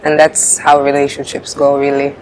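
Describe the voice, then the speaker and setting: A young woman in her twenties, born in Uganda, answering interview questions in a relaxed way with frequent fillers. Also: extremely low pitch, moderate pace. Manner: relaxed, laughs often, hesitant fillers. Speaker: pageant contestant guest. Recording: noisy background.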